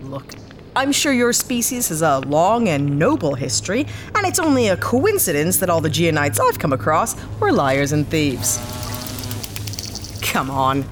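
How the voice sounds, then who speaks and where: A woman's voice: deep voice, sing-song, measured pace, slight background noise. An actress playing a sardonic, blunt ex-cop starship captain down on her luck, in a sci-fi audio drama. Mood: blunt, skeptical. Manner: sardonic, blunt, hesitant when awkward.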